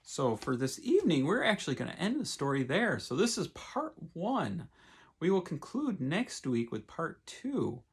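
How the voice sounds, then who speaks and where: A man, a pastor, reading and talking to children in an expressive storytime style. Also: a little high, moderate pace, breathy edge. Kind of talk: expressive storybook read-aloud with casual asides.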